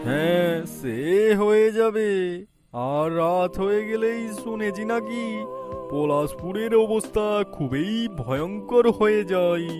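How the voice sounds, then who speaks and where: A man's voice: high-pitched, animated, slow. A man voicing a friendly, concerned village uncle in a cartoon story. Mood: ominous. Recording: music behind the voice. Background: background music.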